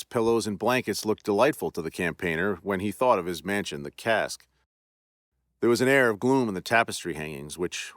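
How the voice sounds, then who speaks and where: A man hosting a podcast, narrating a classic ghost story in a measured, deliberate way. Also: low voice, no room sound, studio quality.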